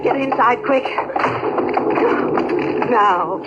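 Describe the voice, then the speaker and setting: A woman's voice: on the high side, drawn-out words, dull audio. An actress with an American accent playing a terrified, frantically pleading wife and mother in a 1950s radio drama. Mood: desperate. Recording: vintage radio recording with loud music.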